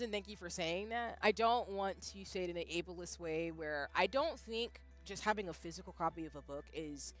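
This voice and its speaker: A young woman streamer talking casually and animatedly to her chat, jumping between loud bursts and soft asides, often poking fun at herself. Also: fairly low pitch. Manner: self-deprecating, reads out chat. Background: faint background music.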